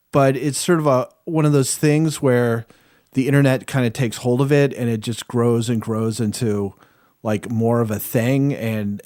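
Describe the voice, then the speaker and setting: A man in a dry recording gives a casual, loosely phrased recommendation. His pitch sits in the middle and he sounds like a podcast panelist. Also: darkish tone, no echo. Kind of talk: casual review chat.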